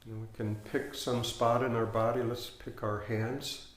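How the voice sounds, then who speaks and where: A man teaching a guided meditation, giving hushed, unhurried instructions with long pauses. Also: medium pitch, level tone. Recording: roomy echo, faint background noise.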